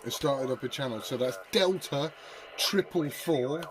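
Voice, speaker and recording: A man's voice: mid-range voice, a little slow, crowd noise. A British man chatting casually and informally to viewers as the host of a radio hobby video, rambling in a matey way.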